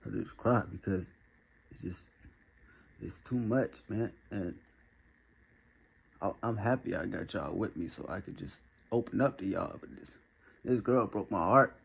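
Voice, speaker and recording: A man voicing a heartbroken, self-pitying young man who murmurs his troubles in a low, husky, halting voice. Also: heavily acted, poor audio. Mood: mopey, sorrowful. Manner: quiet, halting, sorrowful confession. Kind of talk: comedy sketch acting.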